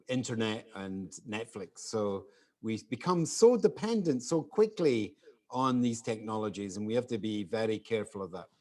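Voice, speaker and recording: A man moderating an online event in an informal hosting style. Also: mid-range voice. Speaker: webinar moderator. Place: studio for online streaming.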